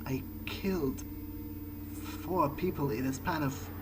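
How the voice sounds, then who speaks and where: A man speaking in character as a vampire in a game playthrough, thinking aloud in a halting, reflective way. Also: a little high, very noisy. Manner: halting, musing to himself. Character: male vampire player character, reflective, morally uneasy. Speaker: gameplay video host speaking in character.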